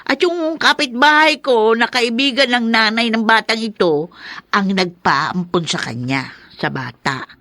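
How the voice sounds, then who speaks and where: Eager, coaxing neighbor woman in a radio play, bright and tense, dry close sound. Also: on the high side, animated, measured pace, no room sound, heavily acted.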